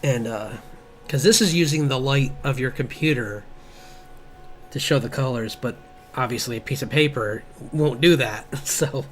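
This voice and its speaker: A man streaming as he draws, chatting casually in a halting, rambling way as an artist. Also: medium pitch. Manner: halting, rambling, chuckling asides. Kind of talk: casual livestream chat while drawing. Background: background music.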